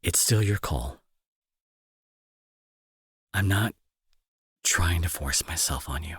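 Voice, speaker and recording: A young man playing a remorseful bully turned tender caretaker in an intimate audio drama, speaking softly and earnestly with a breathy, husky, hesitant delivery. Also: deep voice, takes it slowly, dry recording, clean studio sound, exaggerated voice. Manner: soft, hesitant, earnest, reassuring.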